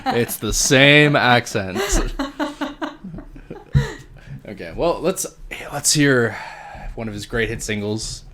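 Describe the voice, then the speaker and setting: A man co-hosting a casual podcast, mocking his subject with a deadpan delivery. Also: medium pitch, takes it slowly, long pauses, a little hiss or hum. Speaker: podcast co-host. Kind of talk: casual chat.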